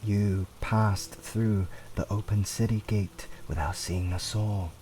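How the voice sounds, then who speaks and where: A man's voice: lowish voice, steady tone, slow, dark tone, recorded from a distance, slight background noise, rough recording. A man narrating a scripted fantasy tale in a dramatic storytelling style.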